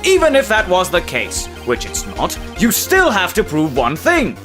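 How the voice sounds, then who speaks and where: A man's voice: high voice, wildly swooping pitch, measured pace, over music, full character voice. A man voicing a smug, indignant male prosecutor with a haughty, scoffing manner. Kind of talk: fan dub of game dialogue.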